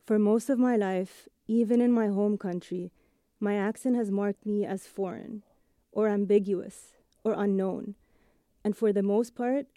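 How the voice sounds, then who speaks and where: Mellow-voiced woman with a blended accent. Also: medium pitch, no room sound, studio quality. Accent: blend of British and American English with Egyptian and Italian touches. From Egypt. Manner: measured, reflective narration. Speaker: audio journalist. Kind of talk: scripted first-person radio essay.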